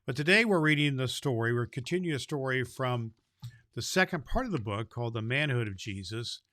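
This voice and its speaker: A man playing a jolly, big-hearted Santa Claus who hosts a children's holiday story podcast in a folksy, halting, rambling way. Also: medium pitch, animated, pushed and bright, no room sound, clean studio sound. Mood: cheerful, folksy.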